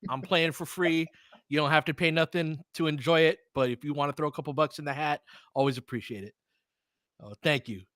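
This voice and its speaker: A man's voice: fairly high pitch, fairly quick, fairly bright tone, dry recording, studio quality. A man hosting a live basketball stream, chatting and commentating casually in a blunt, salty, informal way.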